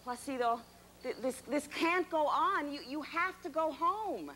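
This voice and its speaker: A woman's voice: sits high, expressive, a little slow, pushed and bright, exaggerated voice. A woman playing a firm but kind, exasperated object of affection in children's TV comedy, earnest and emphatic, with some background noise.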